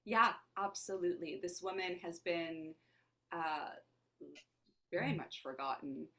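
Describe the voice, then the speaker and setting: A woman's voice: on the low side, a little slow, bright, forceful tone. A woman born in Lebanon whose first language is Armenian, an actor and playwright talking in an interview in a thoughtful, searching way. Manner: thoughtful, searching, asks herself questions aloud. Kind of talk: conversational interview.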